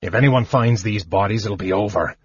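Man voicing a big strong warrior, matter-of-fact, husky, low. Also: moderate pace, no room sound, lo-fi sound. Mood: calm.

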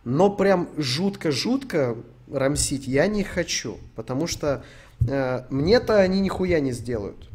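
Sweary young streamer from Ukraine. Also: male voice, fairly high pitch, animated, measured pace, a little mellow, husky. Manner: rambling, sweary and joking. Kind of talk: casual stream Q&A.

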